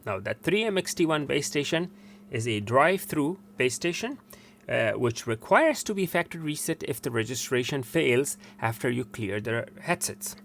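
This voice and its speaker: A man's voice: mid-range voice, expressive, moderate pace, creaky, a bit bright, slight background noise. Man giving step-by-step technical instructions. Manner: instructional, repeats steps for emphasis. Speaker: tutorial presenter.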